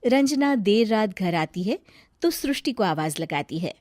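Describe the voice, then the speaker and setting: A woman narrator reads a scripted tale in storybook fashion. Her delivery moves up and down with lively intonation, and the recording is close and dry. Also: medium pitch, measured pace, dry recording.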